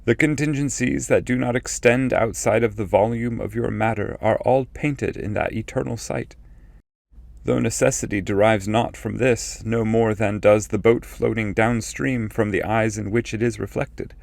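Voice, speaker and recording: A man voicing a solemn, gravelly ancestral spirit. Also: on the low side, steady tone, a little mellow, no echo. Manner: solemn, measured, declamatory. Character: grave, fatherly ancestral spirit foretelling exile.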